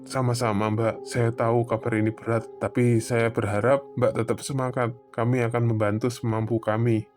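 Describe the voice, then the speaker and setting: A man playing a calm, formal doctor who explains gently and reassuringly in an animated drama. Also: mid-range voice, moderate pace, close to an everyday voice. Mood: calm, sympathetic. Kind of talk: animated horror drama dialogue. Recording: voice over prominent background music.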